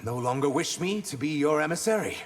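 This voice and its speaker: An actor playing an earnest young male reptilian shapeshifter, speaking formally and in measured phrases in a video game. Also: on the high side, lively intonation. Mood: respectful. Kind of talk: video game fight banter, dramatic voice acting.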